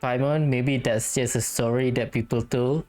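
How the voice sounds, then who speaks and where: A woman's voice: rumbling low, fairly even intonation, normal speed, music in the background. A woman giving laid-back, casual commentary in short reactions as she plays a game. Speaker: gaming let's-play commentator.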